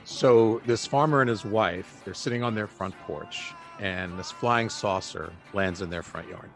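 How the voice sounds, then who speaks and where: Male actor as a wisecracking travel buddy, lowish voice, casual, meandering, radio drama, faint music. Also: measured pace. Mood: jokey. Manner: casual, meandering joke-telling. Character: joking male road-trip companion, casual storyteller.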